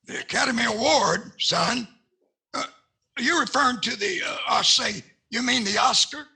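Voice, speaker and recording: Raspy, high-pitched Southern senator windbag. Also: male voice, animated, normal speed, bright, forceful tone, exaggerated voice. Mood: blustering, folksy. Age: older. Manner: blustering, repeats himself with "I say". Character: blustering Southern senator, folksy, boastful windbag. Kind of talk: 1940s radio comedy acting. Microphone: headset on a video call.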